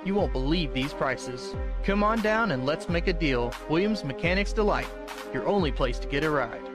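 A man playing a pushy, eager car dealership pitchman in a spoof radio commercial. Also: lively intonation, moderate pace, lightly acted. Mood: hard-sell. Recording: music behind the voice.

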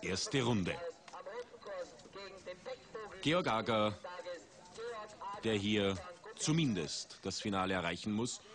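A man commentating a ski race for TV, measured and rising in excitement at big moments. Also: lowish voice, a crowd in the background. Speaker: sports commentator. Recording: 1980s TV broadcast with outdoor noise.

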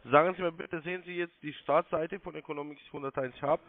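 A man with a slightly high voice gives phone sales instructions as a call centre agent. He is insistent and repeats himself. The sound is a narrow, muffled phone line, dry and free of room sound. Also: fairly even intonation, quick pace, no room sound. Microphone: telephone call.

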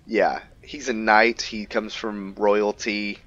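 Male gaming host, deep voice, casual rambling commentary, lo-fi sound over faint music. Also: flat delivery, slow pace, a bit bright. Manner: rambling, thinking aloud. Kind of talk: casual roleplay game commentary. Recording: dull lo-fi mic under music. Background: game music.

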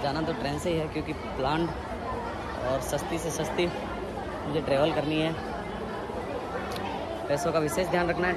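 Young male travel vlogger, chatty selfie talk to camera, busy station din behind. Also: a little high. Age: young adult. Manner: chatty, addresses viewers directly. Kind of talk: casual vlog narration to camera. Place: busy railway station platform, outdoors under a roof. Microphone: wired earphone mic, phone held at arm's length. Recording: loud crowd and station noise.

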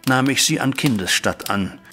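An actor playing a troubled middle-aged banker, a widowed father, recounting events formally and deliberately in a German audio drama. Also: medium pitch, expressive, sharp tone. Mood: melancholy. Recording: music bed behind voice.

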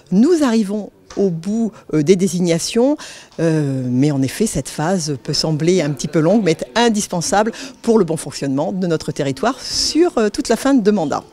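A woman answering an interview as a council president, measured and hesitant. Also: on the low side, dark tone. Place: large meeting hall, indoors. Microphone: handheld interview microphone.

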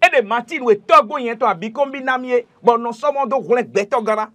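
A man's voice: extremely high pitch, a little fast, pushed and bright. A middle-aged Beninese man speaking emphatically and repetitively as a traditional healer promoting himself on a TV talk show. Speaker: traditional healer, studio guest. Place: TV studio. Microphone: lapel mic.

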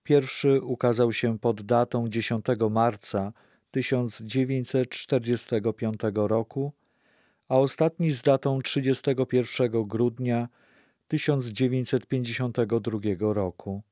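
A man narrating a scripted religious history radio play in a measured, factual way. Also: medium pitch, steady tone, mellow, no echo, clean studio sound, close to an everyday voice.

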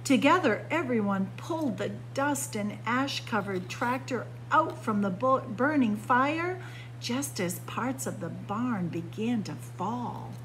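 A woman reading a children's picture book aloud as a story time narrator, with expressive, dramatic phrasing. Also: takes it slowly, low-quality recording. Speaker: library story time reader. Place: indoors by bookshelves. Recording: clear background noise with low hum.

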